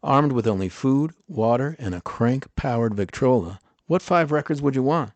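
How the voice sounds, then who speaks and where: A man's voice: mid-range voice, animated, moderate pace, darkish tone, dry recording. A man hosting a music podcast, talking casually with a noticeable stutter. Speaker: podcast host.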